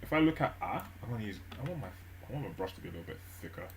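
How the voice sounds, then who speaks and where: A man live-streaming his language study, thinking aloud with many fillers and restarts at a gentle pace. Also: fairly low pitch, sing-song, racing, slight background noise. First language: English. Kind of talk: relaxed study stream narration.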